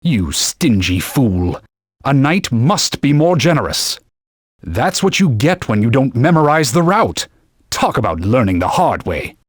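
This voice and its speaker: A man's voice: mid-range voice, big pitch swings, measured pace, dry recording, studio quality. An actor playing a haughty male talking sword, a stern mentor who gives orders and scolds in old-fashioned knightly phrasing, for a video game. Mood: scolding. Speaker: game character.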